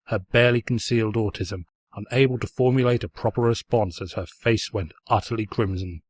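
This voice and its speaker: A man's voice: fairly low pitch, a little fast, no room sound. A man reading fan fiction aloud as scripted story narration, in a pompous, snide storyteller's manner.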